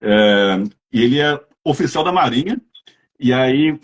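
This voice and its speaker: A man's voice: medium pitch, lively intonation, a little slow, highs cut off. A man with a Brazilian accent, chatting casually as an interviewer and telling rambling anecdotes. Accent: Brazilian Portuguese.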